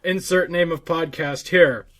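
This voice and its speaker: A man co-hosting a casual gaming podcast, thinking aloud haltingly and repeating his words. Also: a little high, fairly bright tone. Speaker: podcast co-host.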